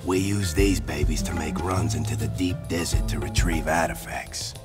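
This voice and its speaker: Huge gruff mean bully in a game, super low voice, taunting, music loud behind. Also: male voice, steady tone, moderate pace. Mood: sneering. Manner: gruff, sneering. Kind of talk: animated video game acting.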